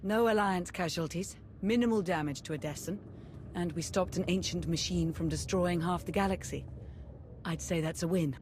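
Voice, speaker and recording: A woman's voice: on the low side, normal speed, a little mellow, slight background noise, close to an everyday voice. A woman playing a cool, pragmatic spymaster in a video game cutscene, composed and precise. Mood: sober, composed.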